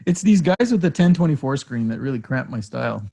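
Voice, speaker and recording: A man from Alberta, Canada, chatting casually and joking on a video call about the software he builds. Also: mid-range voice, a little fast, gravelly, breathy edge. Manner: relaxed, joking.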